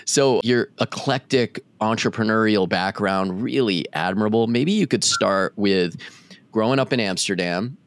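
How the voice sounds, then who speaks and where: A man hosting a podcast, asking a guest to start his story in a relaxed, complimentary way. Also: lowish voice, normal speed, smooth, dry recording. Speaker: podcast host. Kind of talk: interview questions.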